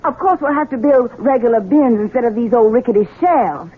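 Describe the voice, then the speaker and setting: A haughty young belle in vintage radio drama. Her delivery swings widely and theatrically, with a husky edge, heard through a muffled, tinny broadcast. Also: fairly high pitch, lively intonation, a little fast. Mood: imperious, curious. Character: vain, headstrong Southern belle bride. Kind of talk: 1970s radio mystery acting.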